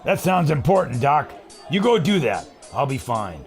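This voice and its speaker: An actor playing a cocky, reckless American pilot hero with a folksy, brash manner in a pulp adventure audio drama. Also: male voice, medium pitch, big pitch swings, moderate pace, some chatter behind. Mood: determined. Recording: loud music over the voice.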